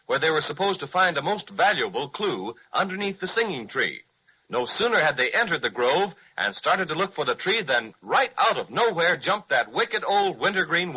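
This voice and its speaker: Husky man narrating an old radio serial. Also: a little high. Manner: storytelling, animated. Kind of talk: scripted narration. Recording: dull lo-fi 1930s radio recording.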